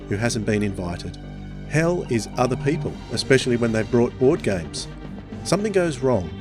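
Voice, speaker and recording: A man hosting a casual podcast discussion in a conversational way on a close microphone. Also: mid-range voice. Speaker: podcast host.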